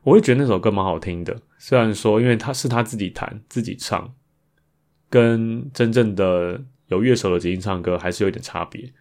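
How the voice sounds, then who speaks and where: Male podcast host, low voice, Taiwanese Mandarin accent, conversational and reflective solo talk. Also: a little fast, a little mellow. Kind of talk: casual podcast monologue about improvised songs.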